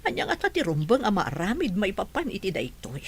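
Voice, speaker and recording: A woman's voice: mid-range voice, big pitch swings, fast talker, breathy edge. Lady doing a worried mom, voice swings up and down a lot. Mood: anxious. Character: caring middle-aged mother of the house. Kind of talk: Ilocano audio drama.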